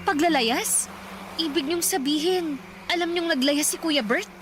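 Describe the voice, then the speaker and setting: Very high-voiced earnest granddaughter. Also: female voice, measured pace, slight background noise. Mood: alarmed, reproachful. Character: earnest, determined poor young granddaughter. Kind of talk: Tagalog radio drama acting.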